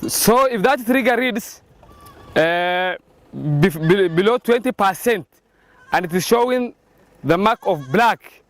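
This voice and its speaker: A man explaining something in an interview, haltingly and step by step. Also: high-pitched, expressive, no room sound.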